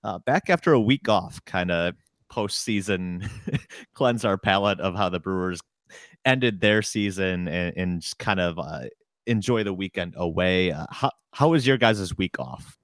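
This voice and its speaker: A man with a very low voice hosts a casual sports podcast. He rambles easily and chuckles between thoughts, recorded close and dry. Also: measured pace, no room sound. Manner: relaxed, rambling, chuckles often. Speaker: podcast host.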